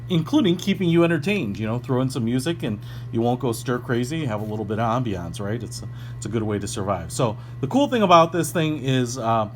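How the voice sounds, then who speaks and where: A man talks through a product in a casual, off-the-cuff unboxing style, chatty and informal. His pitch sits in the middle range, and a clear hum and noise run under the recording. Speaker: product reviewer. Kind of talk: casual unboxing review, ad-libbed.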